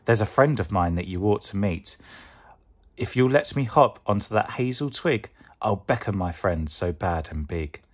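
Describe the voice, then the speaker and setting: A man's voice: on the low side, animated, moderate pace. A man voicing a small, clever storybook mouse with a persuasive manner. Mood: pleading, cunning. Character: small, clever, quick-witted woodland mouse.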